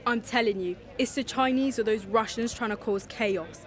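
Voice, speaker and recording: A woman's voice: fairly high pitch, normal speed, lightly acted. A young woman playing a suspicious, defiant conspiracy believer in a street interview drama. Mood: defiant, paranoid. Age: young adult. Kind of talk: vox pop acting in youth drama.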